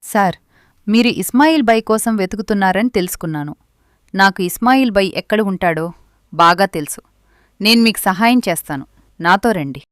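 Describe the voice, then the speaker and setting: A woman acts out a devoted mother who has served her sentence and regrets it. She speaks in an earnest, emotional, imploring way at a middle pitch. The sound is dry, in the manner of a melodramatic cartoon story. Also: expressive, fairly quick, long pauses, no room sound, close to an everyday voice. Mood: firm, earnest. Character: remorseful, doting mother released from prison. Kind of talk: melodramatic Telugu moral-story cartoon voice acting.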